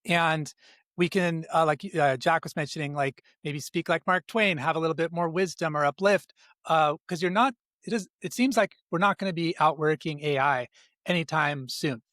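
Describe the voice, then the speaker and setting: Higher-voiced male podcast host asking questions. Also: moderate pace, a bit bright, no room sound, studio quality. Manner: curious, rambling questions with many fillers. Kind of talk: casual podcast interview.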